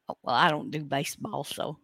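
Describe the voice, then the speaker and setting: A croaky, gravelly woman banters as a podcast co-host. She jokes around and pokes fun at herself. The recording is dry. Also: deep voice, bright, forceful tone, dry recording. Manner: jokey, playful, self-mocking. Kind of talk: casual podcast chat.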